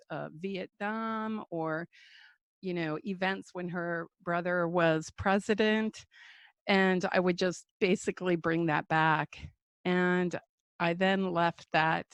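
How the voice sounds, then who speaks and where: An older woman from the United States speaks slowly and haltingly, with plenty of pauses and fillers. Her voice sits a little low. The sound is dry and close, as if from a home computer mic. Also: gravelly, no echo. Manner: slow, halting, many fillers. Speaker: guest speaker. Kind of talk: life story chat. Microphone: computer mic on a video call.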